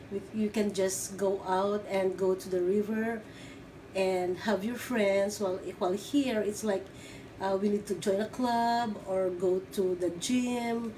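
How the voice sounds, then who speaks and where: Brief: middle-aged woman from the Philippines, hesitant interview answers, recorded from a distance with clear background noise. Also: mid-range voice. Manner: halting, many fillers and restarts. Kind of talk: oral history interview.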